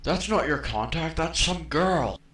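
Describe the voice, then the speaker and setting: A man's voice: fairly high pitch, animated, unhurried. A man voicing clueless, melodramatic characters in an amateur anime parody dub. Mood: baffled, casual. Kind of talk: comedic cartoon parody dubbing. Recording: noisy amateur voice recording.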